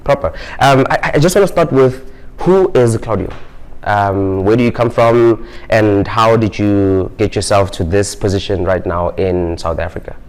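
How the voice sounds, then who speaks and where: A man's voice: lowish voice, normal speed, darkish tone, a little hiss or hum. A man hosting a podcast, asking a guest friendly questions with some hesitant fillers. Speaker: podcast host. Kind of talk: casual interview questions.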